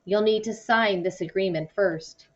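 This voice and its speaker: A woman's voice: medium pitch, measured pace, muffled recording, close to an everyday voice. A woman voicing a dismissive, businesslike older male lawyer in a children's novel read-aloud. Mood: curt. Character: curt, money-minded older male immigration lawyer.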